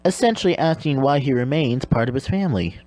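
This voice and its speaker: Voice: medium pitch, animated, a little fast, a little mellow, dry recording. A segment host delivering scripted narration in a comic explainer style.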